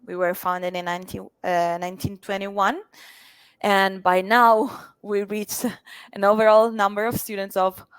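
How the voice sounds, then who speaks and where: A woman's voice: medium pitch, flat delivery, unhurried, smooth, dry recording. A woman whose first language is Italian speaks as a university representative in an interview, hesitating often with fillers. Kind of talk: interview answers.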